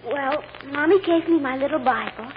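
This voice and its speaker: Actress as six-year-old girl, very high pitch, innocent, earnest, 1940s radio drama, muffled sound. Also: normal speed, slight background noise, lightly acted. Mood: wistful. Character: sweet, trusting six-year-old little girl witness.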